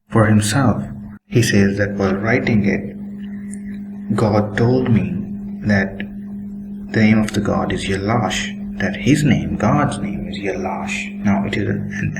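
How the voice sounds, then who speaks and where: A man giving a critical commentary on book excerpts while showing screenshots, pacing himself deliberately and opening most sentences with "now". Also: on the low side, background noise. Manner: critical, disapproving tone. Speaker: religious commentator.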